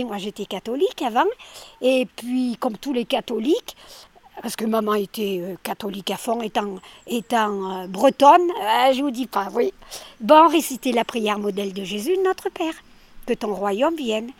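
A woman's voice: a little high, moderate pace, no room sound. An older woman from France chatting outdoors by her garden during a street interview, talkative and emphatic, often repeating her words. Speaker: villager stopped for a street interview. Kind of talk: casual roadside chat.

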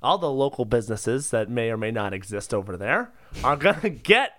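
A man co-hosting a comedy podcast with casual banter and an excitable, jokey way of talking. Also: medium pitch, normal speed, dry recording.

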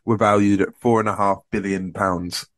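A young man from Scotland co-hosting a podcast in casual, rambling chat that often trails off quietly. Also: fairly low pitch, fairly even intonation, normal speed. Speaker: podcast co-host.

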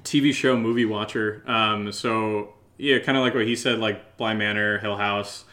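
A man co-hosting a casual, unscripted podcast Q&A, talking in a rambling, halting way and often starting his sentences over. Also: on the low side, steady tone, bright, forceful tone. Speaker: podcast co-host, audio drama writer.

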